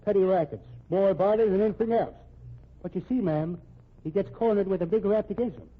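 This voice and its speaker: A confident Irish police officer in an old-time radio drama. His voice is high and raspy, his talk blunt, folksy and loose in grammar. The recording is muffled and narrow, like a vintage broadcast. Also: brisk. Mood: assured, matter-of-fact.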